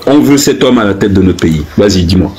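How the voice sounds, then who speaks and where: A man's voice: fairly low pitch, animated, a little mellow. A man in his fifties from Cameroon, host of a live stream, grilling a guest with pointed, repeated questions in a heated debate. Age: 50s. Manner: pointed, hammering questions, drawn-out stresses.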